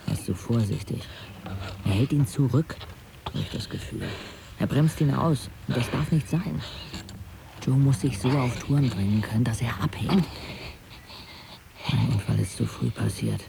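An actor playing a frank, brooding schoolboy who narrates a radio drama in a dry, confiding way. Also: male voice, mid-range voice, vocal fry, background noise. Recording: studio voice with music behind.

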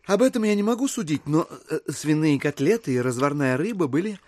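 An actor playing a polite, agreeable and inquisitive gentleman guest in an audio play, speaking in a courteous, hesitant manner. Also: on the high side, expressive, fairly quick, dry recording, lightly acted. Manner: hesitant, courteous, murmuring assent. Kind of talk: audio play acting of a literary classic.

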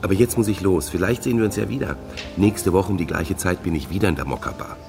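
Deep, gravelly, chummy wheeler-dealer. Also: male voice, fast. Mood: casual, chummy. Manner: offhand, easygoing. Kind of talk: radio play dialogue. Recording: music under the voice.

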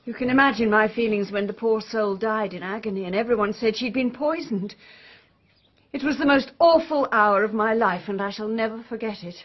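A composed upper-class lady played by an actress, grave and husky, in a radio mystery play. The sound is a little muffled and dull. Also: fairly high pitch, moderate pace, lightly acted. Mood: grave, remorseful. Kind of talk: radio drama acting.

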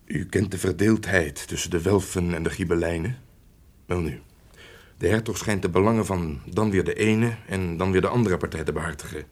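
An actor playing a loyal, cautious confidant in a radio drama, speaking in a formal, measured and sympathetic way. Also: male voice, deep voice, a little mellow. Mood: grave explaining. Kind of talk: theatrical radio drama acting.

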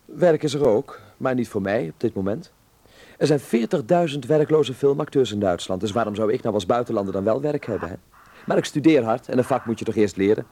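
A man's voice: mid-range voice, lively intonation, fairly quick, mellow. A man playing an affectionate, earnest young Dutch actor living in 1930s Berlin, in a radio drama.

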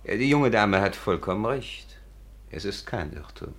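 An urbane aristocratic villain in a radio drama. His voice is low and polished in manner. He is courteous and ironic, with a cool menace. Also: lively intonation, normal speed. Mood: coolly menacing. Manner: suave, polite, ironic. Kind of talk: 1950s German radio play acting.

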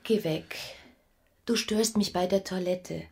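A woman's voice: on the low side, takes it slowly, a little mellow, breathy edge, no echo, studio quality, heavily acted. An actress playing a dreamy, discontented young married woman in a literary radio drama, with an intimate, yearning delivery. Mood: brusque.

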